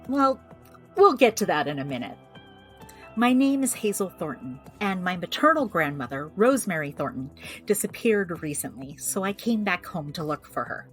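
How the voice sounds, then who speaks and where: Wry young American witch played by a woman, lively-voiced. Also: lowish voice. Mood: wry, confiding. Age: young adult. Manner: wry, confiding. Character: young witch healer, wry, candid, searching for family. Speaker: lead actor and narrator. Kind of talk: scripted first-person audio drama narration, conversational.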